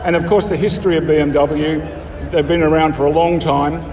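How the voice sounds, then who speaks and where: Echoey Australian man, halting but keen. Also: a little high, fairly even intonation. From rural Australia. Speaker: car club member being interviewed. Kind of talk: casual interview. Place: outdoors at a motor show. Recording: echoey outdoor PA, distant, crowd chatter. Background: crowd.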